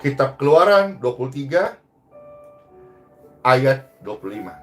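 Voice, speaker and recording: A man preaching a sermon as a pastor, exhorting emphatically and moving between loud rises and hushed phrase endings. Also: mid-range voice, sing-song, unhurried, faint music behind.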